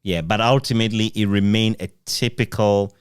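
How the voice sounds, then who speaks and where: A man interviewing in a conversational way, phrasing his questions in a measured, hesitant manner. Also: on the low side, fairly even intonation, clean tone, no echo, studio quality. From Ghana.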